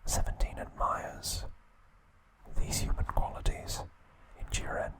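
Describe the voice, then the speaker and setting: A man whispering ASMR trivia narration in a soft, steady voice, with casual asides now and then. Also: takes it slowly, poor audio. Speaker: ASMR creator.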